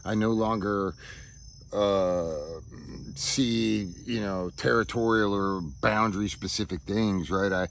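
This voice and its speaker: A man with a low, deep voice talks in a reflective, personal vlog style. He moves haltingly, searching for words and often tagging his thoughts with a quick check for agreement. Faint outdoor insect noise sits behind him. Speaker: vlogger. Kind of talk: reflective personal monologue to camera. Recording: outdoor ambience with insects chirping.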